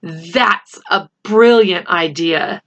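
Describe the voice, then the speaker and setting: A woman's voice: on the low side, extremely slow, fairly bright tone, heavily acted. A woman voicing an earnest, hopeful schoolboy who guards a secret, in a storybook reading. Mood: eager. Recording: slightly dull, lo-fi home recording.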